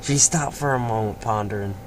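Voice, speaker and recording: A man's voice: on the low side, fairly quick. A man reading a story aloud in an informal amateur way, sometimes stumbling over words and repeating them. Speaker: fan fiction reader and host. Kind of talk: amateur read-aloud of a story with casual asides. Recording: noisy amateur home recording.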